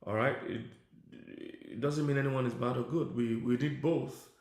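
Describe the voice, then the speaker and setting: A man's voice: medium pitch, steady tone, measured pace, darkish tone, roomy sound. A middle-aged male pastor giving a conversational sermon, now and then breaking off to talk to his tech team. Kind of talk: sermon teaching.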